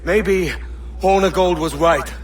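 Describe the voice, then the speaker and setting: An actor playing a bitter, hot-headed pirate captain in a video game, cursing with exasperated scorn. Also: male voice, sits high, slow pace, a little hiss or hum. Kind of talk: video game cutscene acting.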